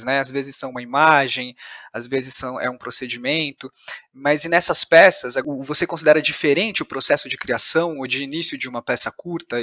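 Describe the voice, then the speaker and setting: A Brazilian man hosts an interview in a loose, conversational style. His voice sits at a middling pitch with a bright edge, and the sound is very dry with no room echo. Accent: Brazilian Portuguese. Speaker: podcast host interviewing a guest.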